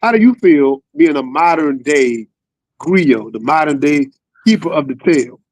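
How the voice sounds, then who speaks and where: Guy hosting a podcast, slightly high voice, very dry sound, slangy and casual, talks to you like family. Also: animated, a little slow, a little mellow, dry recording, low-quality recording. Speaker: podcast host. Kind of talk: casual interview with upbeat hype intro.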